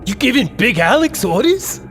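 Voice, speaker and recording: A man's voice: extremely high pitch, big pitch swings, normal speed, fairly bright tone, soft background music, heavily acted. An actor playing a sadistic male gang thug who mocks and threatens his captive with gleeful menace, in an audio drama. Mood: taunting.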